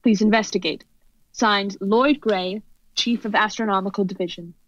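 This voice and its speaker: A woman's voice: mid-range voice, fairly even intonation, no room sound, natural voice. Woman playing a formal radio commentator, dull sound. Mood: formal, composed. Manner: formal, measured announcer delivery. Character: poised female radio news commentator reporting on location. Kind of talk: old-time radio drama interview and reporting. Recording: dull lo-fi audio.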